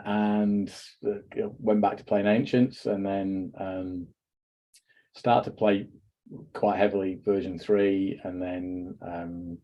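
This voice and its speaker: A man's voice: on the low side, dark tone, highs cut off. A middle-aged man hosting a casual podcast chat, rambling and wry with self-deprecating jokes. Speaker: podcast host.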